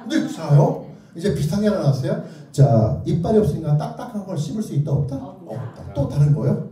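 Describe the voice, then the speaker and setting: A man's voice: high-pitched, sing-song, brisk, warm tone. A man giving an interactive lecture, playfully and theatrically prompting his listeners with either-or questions. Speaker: children's author giving a lecture. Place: echoey indoor lecture room. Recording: very echoey hall, distant mic.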